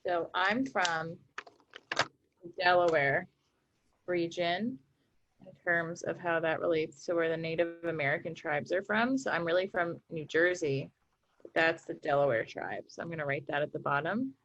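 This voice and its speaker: A woman's voice: fairly low pitch, normal speed, fairly bright tone, lo-fi sound. A young woman presenting a workshop, cheerfully explaining each craft step as she works. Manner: upbeat, explains steps while working. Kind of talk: instructional craft demonstration.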